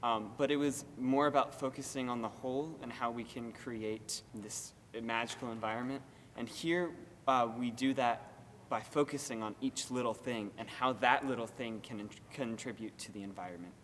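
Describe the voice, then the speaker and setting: A man's voice: mid-range voice, normal speed, fairly bright tone. A teenage male theater student speaking casually in an interview. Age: teens. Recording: echoing hall, faint background noise.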